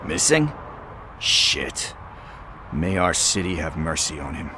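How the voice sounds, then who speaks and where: An actor playing a chatty, evasive street hustler who talks in wheedling casual slang. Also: male voice, a bass voice, sing-song, takes it slowly, noisy background, full character voice. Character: shifty street hustler, middle-aged man, chatty and evasive.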